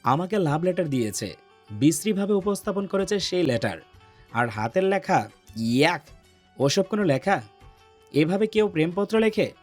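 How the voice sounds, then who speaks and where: A man playing a brooding, domineering, lovesick young man with a deep, heavy voice in a romance audio story. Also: lively intonation, moderate pace, pauses often, soft background music. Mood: indignant, disgusted.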